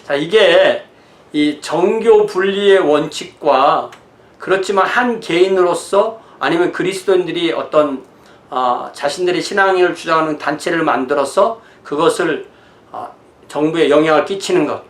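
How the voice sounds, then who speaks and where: A man's voice: fairly high pitch, normal speed. A man, a pastor giving an informal, conversational lecture in an explanatory way. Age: 60s. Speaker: pastor teaching church history. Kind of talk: informal lecture mixing notes and asides. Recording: roomy, echoing sound.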